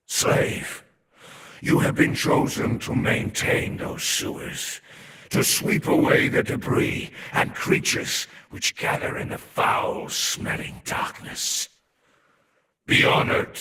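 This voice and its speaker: Slow, whispery ancient overlord played by a man, echoing. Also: lightly acted. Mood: imperious instruction. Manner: solemn, imperious, ominous, deliberate delivery. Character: ancient inhuman overlord, cold, haughty, menacing master of slaves. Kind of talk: scripted video game lore narration. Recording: echoing voice with faint background noise.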